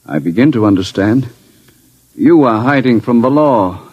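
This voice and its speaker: An actor playing a proud scientist professor with a formal, precise delivery in an old-time radio drama. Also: male voice, medium pitch, lively intonation, normal speed, muffled recording, natural voice. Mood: wary.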